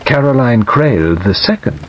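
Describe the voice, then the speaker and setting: A man voicing a calm, watchful detective who questions in short, measured phrases in an audiobook drama. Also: medium pitch, pitched above the performer's own voice, close to an everyday voice. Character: composed, probing male detective, watchful and terse. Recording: dull audio under steady rain noise.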